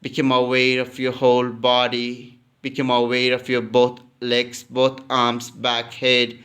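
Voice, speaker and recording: A man guides a relaxation practice in a very smooth, resonant voice. His pitch barely moves, staying steady and monotone. He delivers calm instructions at an unhurried, slow pace, often repeating a phrase. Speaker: yoga nidra teacher.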